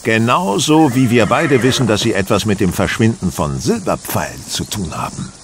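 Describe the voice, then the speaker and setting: Confident detective, precise, condescending, lively swooping intonation, audio drama, clear outdoor sounds behind. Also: male voice, lowish voice, moderate pace, background noise. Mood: firm, accusing. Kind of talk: German audio drama acting.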